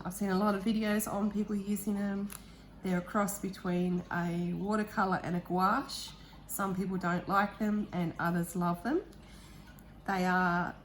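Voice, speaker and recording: A woman with a croaky voice, chatting casually and eagerly about art supplies as she unboxes them, with the odd um. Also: lowish voice, a little hiss or hum. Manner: chatty, enthusiastic, thinking aloud with ums. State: croaky voice, clearing throat. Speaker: art vlogger.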